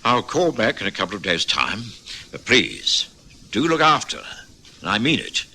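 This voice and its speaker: Earnest family doctor, low-pitched, highly animated, admonishing, old radio drama. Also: male voice, exaggerated voice. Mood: stern concern. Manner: grave, measured, admonishing. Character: earnest caring male family doctor, gravely admonishing, narrating his memories. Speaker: actor and narrator in a radio drama. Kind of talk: old-time radio drama acting and narration.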